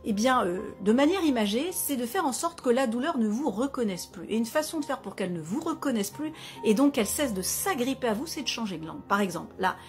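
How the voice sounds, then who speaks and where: A woman wellness author talks to camera, explaining her idea in a lively way with examples and asides. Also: a little high, quick pace, faint music behind.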